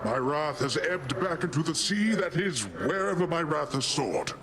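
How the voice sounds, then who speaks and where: A man's voice: on the high side, sing-song, normal speed, very noisy. A man playing a jovial, boastful sea god uncle in a video game, with grandiose, playful and theatrical delivery that is goofy yet haughty. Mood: mock-indignant, boastful. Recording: loud game music behind the voice.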